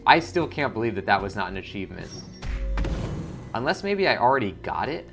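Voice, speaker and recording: A man's voice: on the low side, big pitch swings, a little fast, vocal fry, music in the background. A man hosting a gaming video with casual, informal commentary, thinking aloud as he plays. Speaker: gaming channel host.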